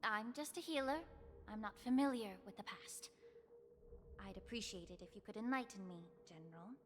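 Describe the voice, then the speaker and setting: A woman voicing a gentle, composed young healer in a fantasy video game, speaking in a formal, measured, poetic way. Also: medium pitch, a little hiss or hum, natural voice. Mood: composed, guarded. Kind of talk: scripted video game voice acting.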